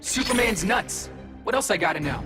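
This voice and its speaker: A man's voice: sits high, animated, moderate pace, loud music behind the voice. A young man playing a cocky, flippant teenage superhero in video game banter, breezy and confident. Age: young adult.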